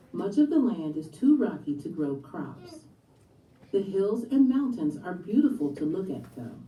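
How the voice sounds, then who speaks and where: Very dark-voiced woman narrating, echoey. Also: fairly low pitch, a little hiss or hum. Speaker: narrator of a recorded textbook read-aloud. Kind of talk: scripted educational narration. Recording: muffled playback in echoey room, distant.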